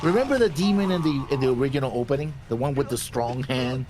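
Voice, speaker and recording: A man's voice: medium pitch, fast, noisy background. A man chatting casually as co-host of a reaction show. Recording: music playing behind the voice.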